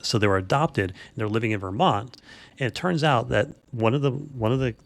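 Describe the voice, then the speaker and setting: A man's voice: mid-range voice, fairly quick, creaky, dry recording. A man hosting a podcast, chatting casually in an informal, rambling storytelling way. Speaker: podcast host. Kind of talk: casual unscripted podcast chat.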